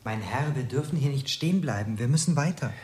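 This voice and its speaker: A man's voice: mid-range voice, expressive, brisk, a little mellow, lightly acted. Man acting a solemn, deferential servant. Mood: calmly urging. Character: formal, calm, fatalistic male servant. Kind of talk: German radio drama acting.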